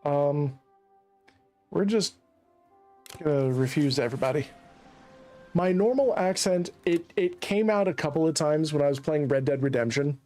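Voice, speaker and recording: A man's voice: fairly high pitch, animated, normal speed, pauses often, warm tone, faint music behind. A man from Central Virginia chatting in a rambling, joking way while streaming a colony game. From Central Virginia, USA. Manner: rambling, chatty, laughs at his own jokes. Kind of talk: casual gameplay commentary.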